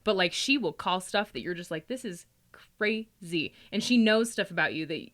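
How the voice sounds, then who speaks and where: A woman's voice: lowish voice, expressive, moderate pace, a bit bright. A woman guest on a podcast telling stories casually and colloquially, peppering her talk with "like" and often giggling. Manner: giggly, laughs often while talking; colloquial, heavy use of "like". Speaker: podcast guest, psychic reader. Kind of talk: casual podcast chat, animated storytelling.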